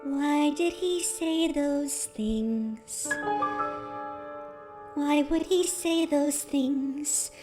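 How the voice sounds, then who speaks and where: An actress playing a doubting young lady in a sung musical theatre soliloquy, earnest, searching and drawn-out. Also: high-pitched, music in the background. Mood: troubled, doubting. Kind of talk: musical theatre solo, sung over accompaniment. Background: musical accompaniment.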